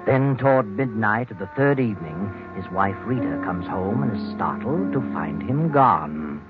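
A male actor narrating a suspense story in a deliberate, dramatic old-time radio style. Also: fairly low pitch, lively intonation. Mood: suspenseful. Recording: dull old radio broadcast with music.

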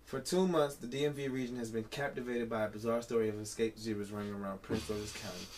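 A man's voice: lowish voice, steady tone, fast talker, background noise. A man co-hosting a casual podcast, reading a news story aloud and breaking off to joke and laugh. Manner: reads article aloud, breaks off laughing and joking. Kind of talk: casual comedic chat. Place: home studio livestream.

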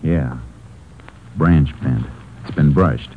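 An actor playing a steady, authoritative Texas Ranger, terse and matter-of-fact, in a 1950s radio drama. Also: male voice, rumbling low, takes it slowly. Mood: watchful. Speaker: lead character and narrator. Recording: tinny old radio recording, some noise.

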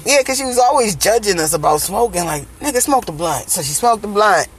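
A woman, co-hosting a casual podcast chat while high, loud and jokey with lots of swearing and laughter. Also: animated, brisk, slightly rough, soft background music. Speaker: podcast co-host.

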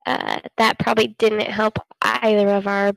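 A woman's voice: medium pitch, level tone, moderate pace, vocal fry, fairly bright tone, dry recording, poor audio. A young American woman with a cold, telling stories casually as a podcast co-host. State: getting over a cold. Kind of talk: casual storytelling chat.

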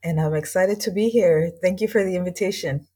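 Low-voiced woman telling her story. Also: fairly quick, warm tone. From East Los Angeles, USA. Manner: earnest, reflective, loose and chatty phrasing. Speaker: guest, language school founder. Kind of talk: casual interview storytelling.

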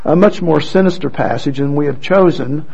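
A man introducing scripture readers at a church program, speaking in a relaxed way with light asides, on a muffled recording. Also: on the high side, normal speed, vocal fry, breathy edge. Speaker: worship leader introducing readers. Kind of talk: church service emcee.

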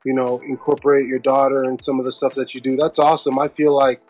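A man's voice: mid-range voice, little pitch movement, quick pace, darkish tone, faint music behind. A man co-hosting a casual interview, talking in an encouraging, affirming way. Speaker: podcast co-host, interviewer.

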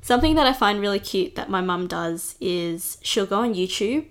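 A woman's voice: mid-range voice, lively intonation, moderate pace. A young woman co-hosting a podcast, chatting casually, swearing now and then and laughing often. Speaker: podcast co-host. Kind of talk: casual chat.

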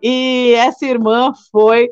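Guest: high, bubbly São woman in her twenties, slangy and laughing, on slightly muffled audio with light music. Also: slow pace, clear, resonant tone. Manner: excitable, laughing often, slangy. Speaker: podcast guest, returned missionary. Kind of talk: casual interview chat.

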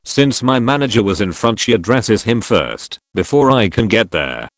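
Low-voiced man narrating stories. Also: sing-song, moderate pace, dry recording, clean studio sound. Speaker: narrator reading online posts. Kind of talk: scripted narration.

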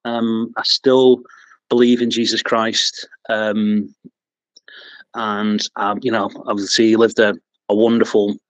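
A man's voice: on the low side, little pitch movement, slow, dry recording, lo-fi sound. A man with a Mancunian accent, chatting as a podcast guest, hesitant with lots of ums and restarts, chuckling at himself. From England. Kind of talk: casual interview chat.

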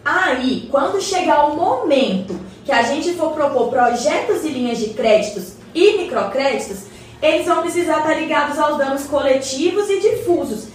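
A woman's voice: high voice, lively intonation, moderate pace. A Brazilian woman playing a well-informed, encouraging neighbour who patiently explains things in colloquial radio drama dialogue. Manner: explanatory, colloquial, addresses her neighbour respectfully. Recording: roomy echo, distant mic, faint noise.

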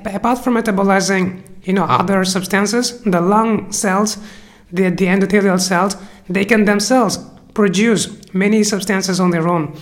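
A teacher with a cold gives an unscripted explanatory lecture, halting often, restarting phrases and leaning on "you know" fillers. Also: mid-range voice. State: has a cold.

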